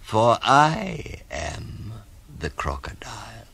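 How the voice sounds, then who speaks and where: A man voicing a sly, menacing crocodile in a storybook, with slow, drawn-out, whispery coaxing. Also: fairly low pitch, big pitch swings, gravelly, a bit bright, breathy edge, voice pushed up, full character voice. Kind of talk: storybook character voice.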